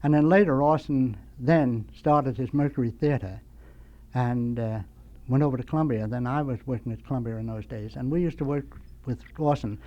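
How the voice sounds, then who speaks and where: An older American man, a veteran radio sound effects man, tells nostalgic anecdotes as a studio guest in a rambling, halting way. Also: mid-range voice, lo-fi sound.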